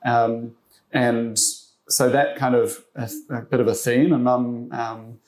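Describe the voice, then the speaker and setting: A man's voice: mid-range voice, little pitch movement, slow, darkish tone, poor audio. A young adult man from New Zealand with a New Zealand accent, chatting as an interview guest in an upbeat, rambling way with many fillers. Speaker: guest, fund manager. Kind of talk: casual interview conversation.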